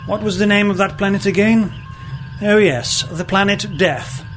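Man as a sarcastic, high-voiced first officer. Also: moderate pace, fairly bright tone, faint music behind. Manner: withering, sarcastic, self-important. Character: prissy, vain, sarcastic male executive officer. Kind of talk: comedy radio play acting.

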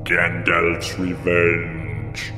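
A man's voice: low voice, completely flat delivery, extremely slow. A man playing a pompous, self-important godlike creator in a comedy radio play. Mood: matter-of-fact. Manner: pompous, grandiose, hesitating. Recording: long reverb, music behind.

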